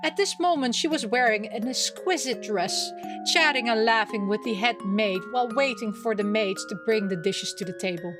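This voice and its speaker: A woman hosting a gaming video, reading game text aloud and reacting in a playful, expressive way as she chatters to herself. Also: a little high, moderate pace, music in the background.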